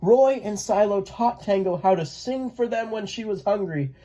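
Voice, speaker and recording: A person reading a children's picture book aloud in a gentle, expressive way. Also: mid-range voice, normal speed, rough recording. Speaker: read-aloud storyteller.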